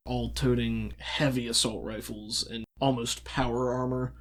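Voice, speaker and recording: A man with a gravelly voice, running a tabletop roleplaying game as game master, telling the story and explaining in a deliberate way. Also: mid-range voice, little pitch movement. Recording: voice over background music.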